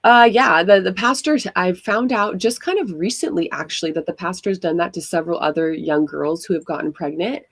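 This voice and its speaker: A woman's voice: lowish voice, brisk, few pauses, no echo. A woman from Hawaii, a podcast guest, telling her own story frankly and casually, with lots of fillers. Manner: frank, conversational, many fillers. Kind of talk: candid personal storytelling.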